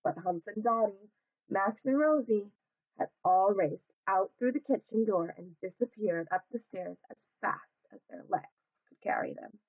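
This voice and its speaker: A woman narrating a children's storybook aloud as a teacher would, in a measured, expressive storytelling manner. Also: medium pitch, no echo, phone-quality sound.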